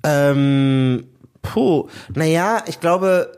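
A man from Nigeria telling stories in a relaxed conversation, breaking into laughter often. His voice sits a little higher than most men's, and his talk is animated and expressive. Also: extremely slow, clean tone. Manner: lively storytelling, laughs often. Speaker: guest. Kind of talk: casual chat.

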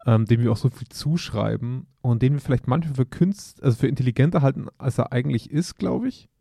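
Gravelly man making dry remarks. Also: mid-range voice, brisk, mellow, no room sound. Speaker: podcast co-host. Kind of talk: casual chat. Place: office.

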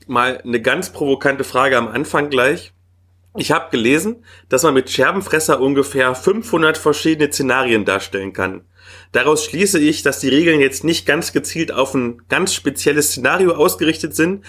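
Husky-voiced male podcast host. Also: mid-range voice, expressive, moderate pace, a bit bright. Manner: friendly, structured questioning. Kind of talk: podcast interview.

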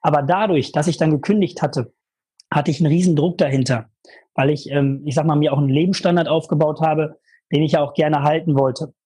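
A young man telling a lively personal story in a casual interview, full of fillers and emphasis. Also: on the high side, a little fast, breathy edge. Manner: energetic, animated storytelling with many fillers. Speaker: guest, former mastermind participant.

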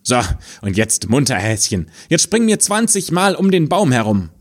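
A man's voice: mid-range voice, very animated, normal speed, voice pushed up. A man voicing a cunning, bossy male fiddler in a fairy tale, giving orders in a mocking tone. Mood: commanding. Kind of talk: storybook voice acting.

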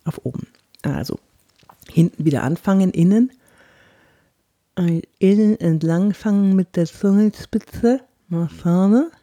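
A woman from Franconia with a light Bavarian lilt, an actress and body-language coach giving a chatty podcast lesson on clear speech and slowly guiding mouth exercises. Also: medium pitch, warm tone. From Franconia, Germany. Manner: guides exercises slowly and deliberately; chatty and personal.

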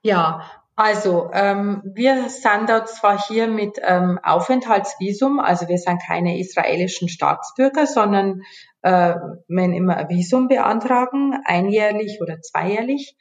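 A woman's voice: mid-range voice, unhurried. Chatty woman in an echoey room. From Bavaria, Germany. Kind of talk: casual conversational interview.

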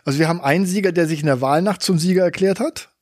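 A man's voice: sits high, racing, darkish tone, no room sound, studio quality. A man co-hosting a casual podcast, joking and laughing often as he talks. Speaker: podcast co-host. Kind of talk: casual chat. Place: Berlin.

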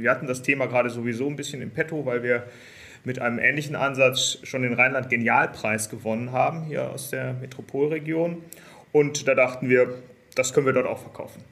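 A man of about fifty with a bright, forward voice at an average male pitch. He speaks as an executive guest in an interview, answering in a conversational way.

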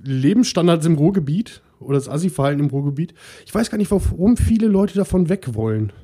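A man's voice: a little high, lively intonation, fairly quick, mellow, husky. A man from the Ruhr area with a Ruhr German accent, chatting casually and joking with many fillers. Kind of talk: casual chat.

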